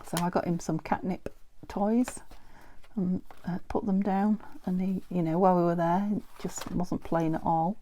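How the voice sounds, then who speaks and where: A British woman hosting a crafting vlog, croaky from a cold, chatting and muttering to herself as she works. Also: on the low side, fairly even intonation, moderate pace, mellow, slight background noise. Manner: muttering to herself while working, often dropping to a whisper. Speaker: crafting channel host.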